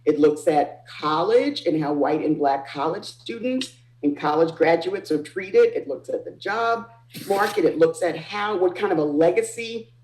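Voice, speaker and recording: A woman's voice: on the low side, animated, normal speed, darkish tone, slight background noise. A woman author explaining ideas on a panel, making emphatic points in parallel phrases. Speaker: author discussing own book. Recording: roomy, echoing sound.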